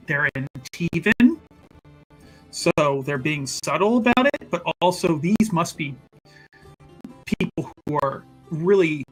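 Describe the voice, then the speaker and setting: A man playing a scheming, protective rogue in an improvised tabletop game, grandiose and halting as he talks. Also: on the high side, a bit bright, low-quality recording. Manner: halting, grandiose, evasive, many repeats. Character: smooth-talking scheming rogue, self-important, protective man. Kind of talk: improvised in-character tabletop roleplay.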